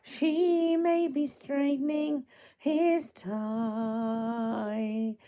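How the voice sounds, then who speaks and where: A tired, hoarse woman voicing a hopeful, tender orphan girl from a storybook in a soft, wistful manner. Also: high voice, pitched above the performer's own voice, exaggerated voice.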